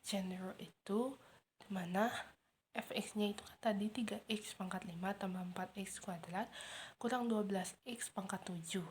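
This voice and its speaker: A teenage girl working methodically through math problems step by step, in a soft, half-whispered way. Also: medium pitch, a little hiss or hum. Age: teens. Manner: steady, methodical, working through steps aloud. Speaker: student explaining homework. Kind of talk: math walkthrough.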